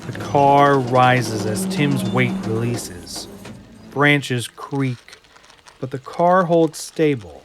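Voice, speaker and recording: A man narrating an audio drama from a script in a deliberate, suspenseful manner. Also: mid-range voice, expressive, a little hiss or hum.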